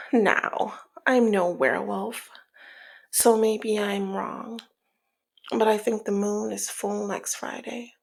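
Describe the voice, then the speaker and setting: A woman playing a flirty, teasing, dominant female mob boss in an intimate ASMR roleplay, with a husky, breathy, half-whispered voice and a sultry, taunting manner. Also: mid-range voice, steady tone, heavily acted. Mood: flirty, playful. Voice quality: breathy, husky, often slipping into near-whisper. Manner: slow, sultry, playful taunting. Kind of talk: ASMR roleplay, intimate one-sided dialogue.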